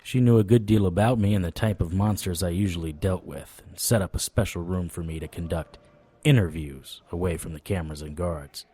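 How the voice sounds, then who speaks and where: A tough, wry private eye of the supernatural in a noir audio drama. His voice sits a little low and is recorded close and dry. He talks with deadpan, sardonic swagger. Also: a little fast, husky, no room sound. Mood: wry narration. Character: wry, tough male supernatural private investigator, hard-boiled narrator.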